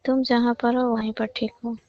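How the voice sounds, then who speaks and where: Young woman, gravelly flat delivery, highish voice, casual chat on a muffled phone recording. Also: moderate pace, no room sound. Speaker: caller. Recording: muffled low-fidelity phone call recording.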